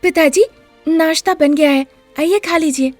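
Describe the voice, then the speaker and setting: A woman voicing a sharp, mocking young daughter-in-law in an animated, incredulous manner for a story. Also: sits high, unhurried, no room sound, faint music behind, pitched above the performer's own voice. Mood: polite.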